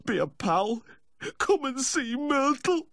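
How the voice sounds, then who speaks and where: A man's voice: on the high side, very animated, dark tone, no room sound, studio quality, pitched above the performer's own voice. A man voicing a tearful, drunken little Yorkshire dog lover, slurring and pleading in a sentimental audiobook character voice. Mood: begging. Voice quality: slurred, whining. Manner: pleading, sentimental, drawn-out wailing.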